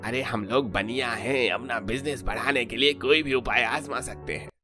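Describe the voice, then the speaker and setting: A man's voice: mid-range voice, normal speed, over music, close to an everyday voice. A man playing a cheeky, opportunistic local trader in a cartoon. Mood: conniving. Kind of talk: scripted cartoon dialogue.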